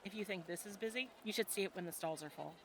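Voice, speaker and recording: A woman playing a friendly, teasing medieval fantasy tavern local in an audio roleplay, talking in a conversational, gently instructive way to a newcomer. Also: mid-range voice, fast talker, background noise, lightly acted. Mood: guiding. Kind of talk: audio roleplay acting, adventure ASMR.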